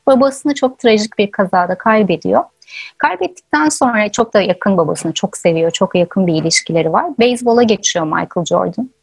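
Husky woman guest, earnest and reflective. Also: medium pitch, dry recording. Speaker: interview guest, author and researcher. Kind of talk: conversational explanation.

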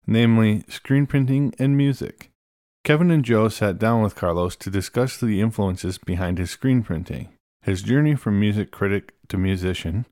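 A man hosting a podcast, reading a scripted introduction in a measured way. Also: lowish voice, a little mellow. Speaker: podcast host.

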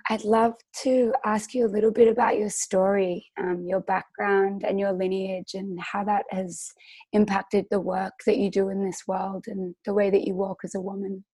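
A woman hosting a podcast interview, her voice hoarse and croaky, speaking gently and politely. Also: mid-range voice, flat delivery, normal speed, no echo. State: hoarse, lost voice. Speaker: podcast host.

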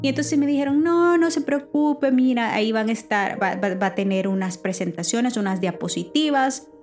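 A woman who preaches, with Spanish as her first language, telling a personal story in a lively, halting way with many restarts. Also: fairly high pitch, faint music behind. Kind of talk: personal testimony, casual talk.

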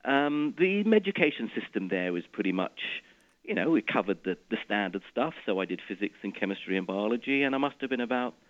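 Low-voiced middle-aged guy from iraq, physicist guest, keeps restarting words, muffled radio sound. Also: expressive, fairly quick. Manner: restarts and repeats words while explaining. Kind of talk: explanatory interview talk.

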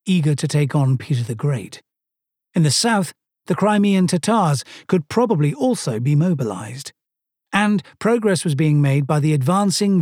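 A man's voice: fairly high pitch, darkish tone, dry recording. A man from Sweden narrating a first-person travel story with dry, self-mocking humor.

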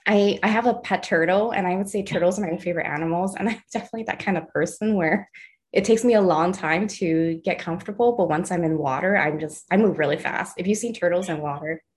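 A young woman with a lowish voice talks loosely and warmly about her life as an interview guest. She laughs easily, circles back and restarts her phrases. She grew up in the Los Angeles area and sounds like it. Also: fast talker. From Los Angeles area, USA. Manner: laughs often, chatty with restarts. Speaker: artist and guest. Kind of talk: casual interview conversation.

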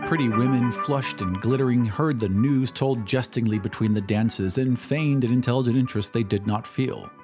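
A man's voice: medium pitch, moderate pace, few pauses. A man giving a dramatic scripted narration of a story, as in an audio drama. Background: music.

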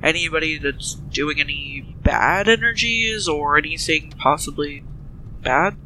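A man's voice: a little high, a little slow, bright, forceful tone, a little hiss or hum, poor audio. A man playing a tabletop role-playing game, improvising casual dialogue with polite, hedging questions.

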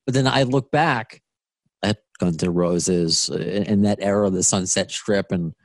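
A man's voice: on the low side, measured pace, dry recording, clean studio sound. A man in his late thirties hosting a podcast interview, chatty, laughing often and restarting sentences. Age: 30s. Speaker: podcast host. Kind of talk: casual interview.

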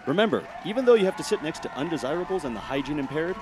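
A man's voice: a little high, very animated, very fast, crowd noise, noisy background. A man voicing a smug, overly polite adult superhero who lectures civilians. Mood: righteous.

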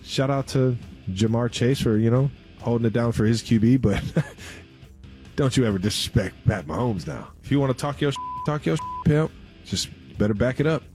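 A man's voice: mid-range voice, moderate pace, darkish tone, soft background music. A man chatting casually about sports in slangy, playful banter.

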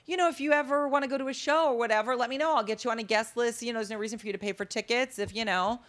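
A woman with a low, deep-timbred voice, telling stories in a casual interview and acting out the dialogue. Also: very fast. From Las Vegas. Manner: animated, acts out quoted dialogue. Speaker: comedian guest.

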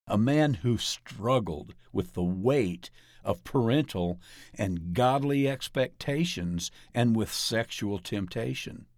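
A man reading a written interview aloud as scripted narration, in a measured and deliberate manner. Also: fairly low pitch, a little mellow, no echo, studio quality. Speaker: reader of articles.

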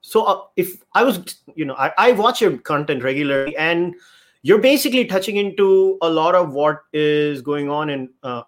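A man's voice: on the high side, animated, normal speed. A man with an Indian accent hosting a conversational podcast interview, circling around his questions in a rambling way. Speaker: podcast host.

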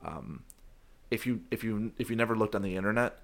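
A young man with a very low, gravelly voice that is hoarse from shouting the day before. He chats casually and goofily as a podcast host, rambling and restarting his sentences. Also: a little fast. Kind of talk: casual chat.

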